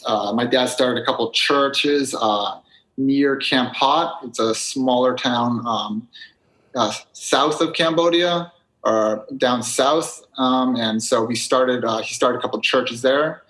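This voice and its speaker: A young American man as a podcast guest, talking casually and rambling with lots of fillers in quick bursts. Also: medium pitch, a bit bright, low-quality recording. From United States (New Hampshire, Maine). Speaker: guest vlogger. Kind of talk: casual interview chat.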